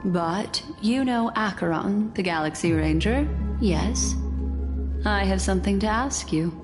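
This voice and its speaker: A woman playing a composed, mysterious keeper of memories in a video game, cool, deliberate and probing. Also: medium pitch, expressive, lightly acted. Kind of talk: dramatic video game voice acting. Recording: long reverb, loud music behind.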